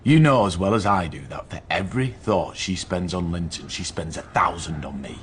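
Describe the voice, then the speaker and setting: A man's voice: low voice, expressive, normal speed, a little hiss or hum. An actor playing a brooding, cruel and vengeful man in a gothic radio drama. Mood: intense.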